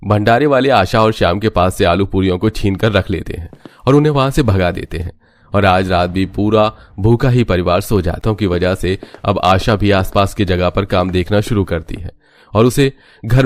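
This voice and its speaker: Low-pitched man narrating a story, dry sound. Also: moderate pace, dry recording. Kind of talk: scripted cartoon story narration.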